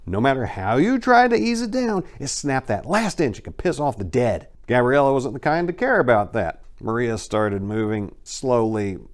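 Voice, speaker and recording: A man's voice: mid-range voice, big pitch swings, normal speed, hardly any pauses. A man narrating a crime short story as a dramatic reading, with theatrical, emphatic delivery.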